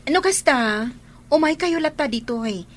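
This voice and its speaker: An actress playing a polite, respectful young helper. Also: female voice, high voice, animated, normal speed. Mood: friendly. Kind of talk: radio drama acting.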